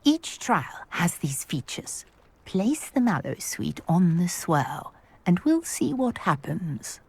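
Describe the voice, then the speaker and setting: A woman's voice: lowish voice, very animated, slow pace, vocal fry, a little mellow, slightly rough, heavily acted. A woman voicing an eager, chatty witch archaeologist in a video game, prone to exclaiming in wonder. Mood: encouraging. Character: excitable scholarly witch, archaeologist obsessed with Merlin.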